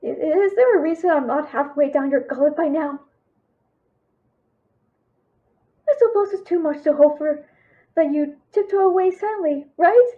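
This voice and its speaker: A very high-pitched young female thief, acted by a woman in a fantasy audio drama. She is cheeky but scared, stammering as she tries to bluff and talk her way out of trouble. Her delivery is nervous and theatrical. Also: brisk, narrow, tinny audio, natural voice. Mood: nervous, resigned. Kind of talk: audio roleplay acting, fantasy.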